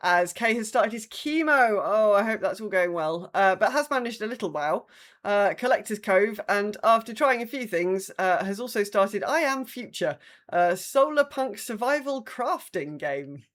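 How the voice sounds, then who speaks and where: A woman's voice: mid-range voice, animated, normal speed, a bit bright. An English woman co-hosting a podcast in casual chat, hesitant and wry, often correcting herself. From England. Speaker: podcast co-host. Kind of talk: casual chat, reading listener updates.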